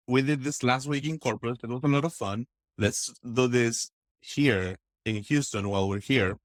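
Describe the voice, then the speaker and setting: A man from Texas, a podcast guest, telling stories in a casual, enthusiastic and expressive way. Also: mid-range voice, no echo. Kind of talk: casual interview storytelling.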